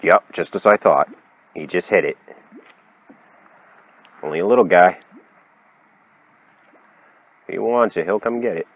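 A young man vlogging from a small boat on a lake, narrating his fishing and getting loudly excited at every catch. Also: sits low, a little slow, pauses often, no echo, poor audio. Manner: excitable, whoops and laughs at strikes.

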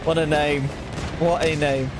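Young male streamer, casual and chatty with a light stutter, talking over loud game audio. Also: fairly high pitch, flat delivery, unhurried, noisy background. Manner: chatty, thanks viewers, stutters at times. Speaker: gaming livestreamer. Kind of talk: casual livestream chat while playing. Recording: game sound mixed loud behind voice. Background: game gunfire and explosions.

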